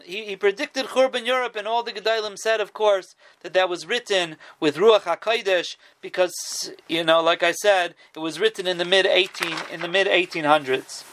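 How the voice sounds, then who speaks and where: Man, high pitch, casual storytelling, stumbly restarts. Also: a bit bright. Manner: restarts and repeats words, Yiddish and Hebrew terms. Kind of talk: casual spoken Torah storytelling.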